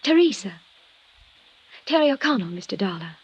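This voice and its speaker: An actress playing a gracious, effusive young widow in a 1950s radio drama, polite and eager to please. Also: female voice, medium pitch, sing-song, moderate pace, husky, no echo. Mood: polite, soft. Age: young adult. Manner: polite, effusive, soft-mannered. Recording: dull old radio recording, faint noise.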